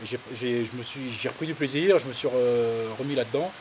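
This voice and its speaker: Man, 50s, from the Paris suburbs. Medium pitch, colloquial and halting storyteller in an interview, faint-to-clear hiss on the recording. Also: a little mellow. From Paris suburbs, France. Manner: hesitant, rambling, colloquial. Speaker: interviewee, farmer. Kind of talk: casual autobiographical interview, recounting anecdotes.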